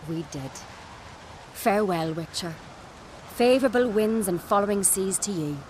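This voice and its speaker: Grave fantasy game clanswoman, played by an actress, medium pitch, measured delivery, composed, faint ambient noise. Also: animated, darkish tone, close to an everyday voice, rough recording. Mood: guarded, composed. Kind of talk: video game voice acting.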